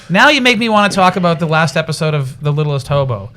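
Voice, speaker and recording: A man in his forties, a stand-up comedian guesting on a podcast, telling stories in a halting, repetitive way. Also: fairly high pitch, expressive, pushed and bright. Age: 40s. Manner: halting, repeats words, storytelling. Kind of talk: casual comedy podcast chat. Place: recording studio.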